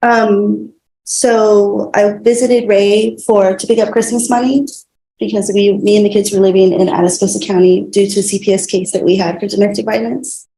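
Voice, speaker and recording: A woman giving halting testimony, her voice gravelly and dark. She answers with pauses and fillers. The sound carries a courtroom echo over faint room noise. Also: medium pitch, fairly even intonation. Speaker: witness.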